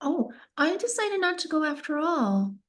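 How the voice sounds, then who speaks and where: A woman acts out an anxious young pageant contestant for a script read. Her voice is very high and highly animated, with big swings in pitch. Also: normal speed. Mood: casual, evasive. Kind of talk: screenplay table read acting.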